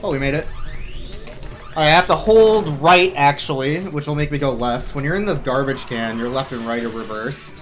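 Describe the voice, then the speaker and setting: A man's voice: on the high side, normal speed, faint music behind. A man giving casual, wry, offhand commentary on a live video game speedrun. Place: live gaming event stream with game music behind.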